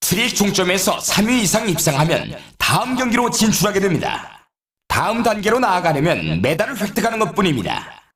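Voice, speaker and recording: A man voicing an excitable snowboard race announcer in a video game, with polished, showy, emphatic commentary. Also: high-pitched, fairly quick, full character voice. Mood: emphatic, announcing.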